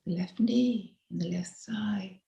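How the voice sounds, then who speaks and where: A woman leads a guided meditation as a mindfulness teacher, giving soft, unhurried instructions. Her voice sits at a middle pitch with heavy vocal fry. The recording sounds noticeably echoey and roomy. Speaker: mindfulness teacher leading a body scan.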